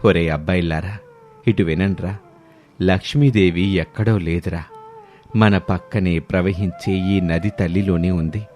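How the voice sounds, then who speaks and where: Man voicing a deep old village patriarch. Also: steady tone, unhurried, darkish tone. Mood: earnest, advising. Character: kindly old village father-in-law advising neighbours. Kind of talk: storybook dialogue.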